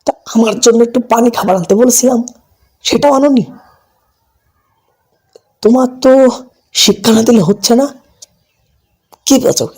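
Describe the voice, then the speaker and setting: A man's voice: extremely high pitch, normal speed, pauses often, husky. A man voicing a strict, bossy old father-in-law in a cartoon, with a harsh, scolding manner. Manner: harsh, bossy, shouting rebukes. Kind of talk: cartoon voice acting.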